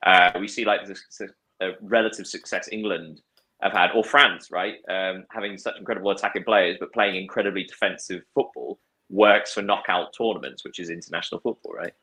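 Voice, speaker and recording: A man's voice: low voice, steady tone, a little fast, pushed and bright. A man discussing football casually, thoughtful, with frequent fillers and restarts. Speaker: podcast guest.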